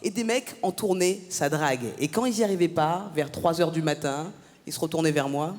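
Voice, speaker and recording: A woman's voice: sits low, normal speed, a little mellow. A woman from France performing a stand-up comedy set on a theatre stage, teasing the crowd with punchy banter. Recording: live gala, long hall reverb.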